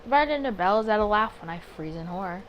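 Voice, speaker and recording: A woman's voice: mid-range voice, animated, fast, muffled recording, a little hiss or hum. A woman doing a casual game playthrough, chatting expressively as she reads story text aloud and reasons through her reactions. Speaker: gaming video creator.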